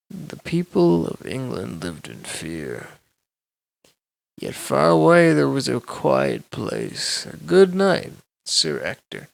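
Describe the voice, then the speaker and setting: Slow, gravelly man telling a bedtime story. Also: mid-range voice, no echo, poor audio. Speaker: storyteller reading aloud.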